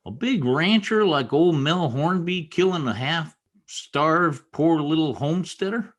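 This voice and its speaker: A man's voice: fairly high pitch, expressive, unhurried. A man playing a folksy, curious, eager helper to a marshal in a radio western. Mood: surprised, curious. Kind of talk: old-time radio western acting.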